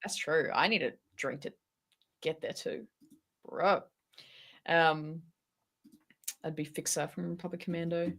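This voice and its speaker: A woman's voice: low voice, steady tone, a little slow, creaky, pauses often, a bit bright. An Australian woman co-hosting a casual livestream, talking in a slangy, teasing and enthusiastic way. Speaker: livestream host.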